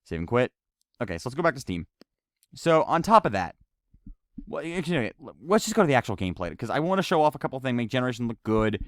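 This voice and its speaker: A man from the United States reviewing a video game in casual, rambling, halting, sweary unscripted commentary. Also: mid-range voice, very animated, dry recording. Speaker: gaming reviewer. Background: game music.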